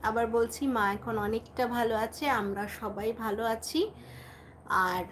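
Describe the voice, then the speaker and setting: A woman's voice: a little high, little pitch movement, a little slow. A woman hosting a casual live chat, talking informally to her viewers. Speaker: livestream host, vlogger. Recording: some background noise, home livestream.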